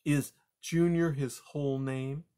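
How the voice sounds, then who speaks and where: A man's voice: mid-range voice, steady tone, takes it slowly, mellow, pitched above the performer's own voice, natural voice. A man voicing a fussy female mouse, a disapproving aunt in a storybook, with a prim, critical manner.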